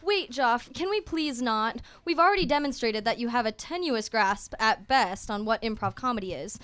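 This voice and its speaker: A woman's voice: a little high, animated, normal speed, a bit bright, smooth. A young woman playing an exasperated, sensible young woman in a radio comedy, pleading and reproachful. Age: young adult. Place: small radio studio.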